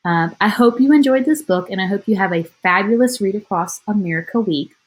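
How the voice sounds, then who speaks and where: A woman's voice: medium pitch, fairly quick, poor audio. A woman teacher reading a picture book aloud to children, expressively, with chatty asides.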